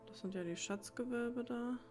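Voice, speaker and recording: A woman's voice: fairly high pitch, moderate pace, over music. A woman giving casual gameplay commentary, musing as if thinking aloud to herself. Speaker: let's play gamer.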